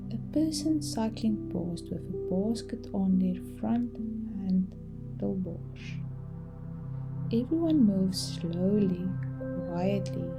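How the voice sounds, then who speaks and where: A woman storyteller narrating a bedtime meditation story in a soft, gentle, calming and unhurried way, with pauses. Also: medium pitch, creaky. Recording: music behind the voice.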